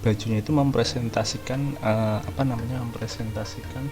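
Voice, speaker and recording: A man's voice: medium pitch, fairly even intonation, quick pace. A man giving casual, slangy, rambling commentary as a gaming streamer, with game music behind him. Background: video game music.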